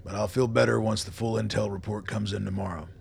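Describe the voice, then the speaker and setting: An actor playing a weary, blunt army colonel in a radio play, with a very gravelly, husky voice and clipped commanding manner. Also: male voice, fairly low pitch, steady tone, fast, heavily acted. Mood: blunt, guarded.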